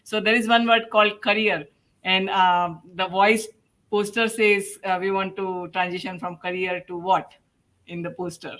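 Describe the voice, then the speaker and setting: A woman hosting an informal panel, speaking in a halting way and often breaking toward a laugh. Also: mid-range voice, flat delivery, fairly bright tone, low-quality recording. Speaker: podcast host and panel moderator.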